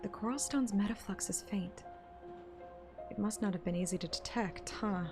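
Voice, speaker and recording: A woman's voice: medium pitch, moderate pace, music in the background, natural voice. A woman playing an alert, inquisitive young female hunter in a game drama, with direct delivery. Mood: curious.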